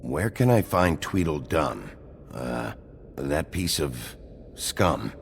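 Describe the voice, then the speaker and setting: An actor playing a gruff, blunt sheriff in a video game, tough but fair, terse and dry with his questions. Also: extremely low pitch, sing-song, slow, slight background noise, exaggerated voice. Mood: sardonic. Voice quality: gravelly.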